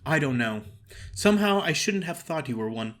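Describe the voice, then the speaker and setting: A man's voice: a little high, lively intonation, normal speed, a bit bright. A man voicing a small, frank young chorus girl in an audiobook, chatty, earnest and plain-spoken. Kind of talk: audiobook character acting.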